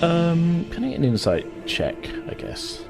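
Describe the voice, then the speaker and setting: A man's voice: fairly high pitch, huge pitch swings, a little slow, gravelly. A man improvising narration as a tabletop game master, hesitant and conversational, as if thinking aloud. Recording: music playing behind the voice. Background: music.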